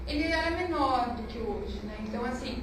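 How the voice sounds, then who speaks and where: A woman's voice: sits high, lively intonation, fairly quick. A woman in her late twenties in a group discussion, who thinks aloud with hesitant restarts. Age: 20s. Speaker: discussion participant. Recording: echoey room, distant mic, noisy.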